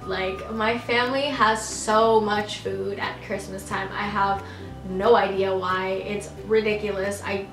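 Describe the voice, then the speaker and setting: A woman's voice: medium pitch, roomy sound, poor audio. A young woman from the Philippines who grew up in Canada, answering viewer questions in a chatty, giggly way full of "like"s and restarts. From Philippines, raised in Canada. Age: young adult. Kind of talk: casual chat.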